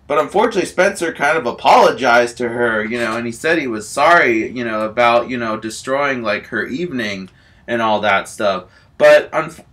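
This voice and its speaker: Male amateur storyteller: bright, slightly high voice, rambling off-the-cuff comic tale, excitable and repetitive. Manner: excitable, giggly, repetitive filler phrases like "you know" and "all that stuff". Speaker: amateur storyteller in a homemade video.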